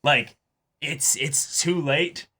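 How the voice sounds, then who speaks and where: Bright-voiced man co-hosting a reaction show. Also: a little high. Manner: halting, repeats his phrases. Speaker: co-host of an anime reaction show. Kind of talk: casual discussion and commentary.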